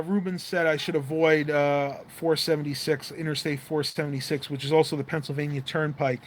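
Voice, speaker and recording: A man's voice: fairly high pitch, slight background noise. A man hosting a casual livestream, rambling through viewer comments with lots of fillers while his voice goes dry and fades. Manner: rambling, chatty, with many fillers. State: losing his voice, dry mouth. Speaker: livestream host.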